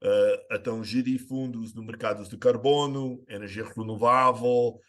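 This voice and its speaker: A middle-aged American man talks in Portuguese, his accent carrying a slight Brazilian tinge. He answers as a guest expert over a remote call link, hesitating often, filling gaps with "eh" and restarting phrases. His voice sits in an ordinary middle pitch. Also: steady tone, moderate pace, a bit bright. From United States. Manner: hesitant, with many filler sounds and restarts. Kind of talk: conversational interview answers.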